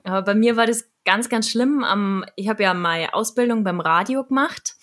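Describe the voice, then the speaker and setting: A woman's voice: mid-range voice, moderate pace. A woman from Munich with a Bavarian accent, a trained radio and voice speaker, chatting casually and laughing often. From Munich, Germany. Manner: lively, laughs often while telling stories. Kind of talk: casual conversation.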